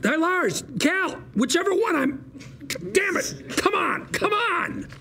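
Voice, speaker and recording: An actor at a table read playing a desperate, fast-talking lawyer with an urgent, pleading manner. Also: male voice, extremely high pitch, animated, gravelly, sharp tone, exaggerated voice. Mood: frantic. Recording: noisy table read room.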